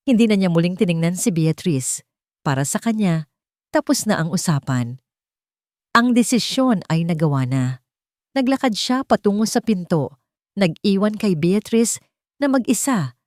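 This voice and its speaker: A woman with a slightly low voice narrates a scripted romantic drama. The sound is dry and close, with the measured phrasing of an audiobook storyteller. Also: a little mellow, no room sound.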